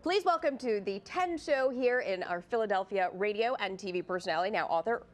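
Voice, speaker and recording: A woman's voice: medium pitch, lively intonation, brisk. A woman co-hosting a TV talk show, introducing a guest and helping with a cooking demo.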